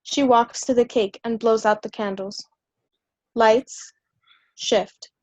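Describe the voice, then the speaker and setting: Even-toned, dry-sounding woman reading stage directions. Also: on the high side, slow pace, no room sound, lo-fi sound. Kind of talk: scripted narration.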